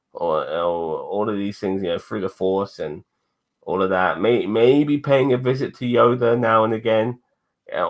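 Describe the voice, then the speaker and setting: A man in his forties talks in a casual, rambling way, dropping swear words freely. His voice sits a little low for a man. He keeps going in long, loose runs of thought. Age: 40s. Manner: rambling and profane, swearing often. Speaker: podcast co-host. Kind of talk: casual chat.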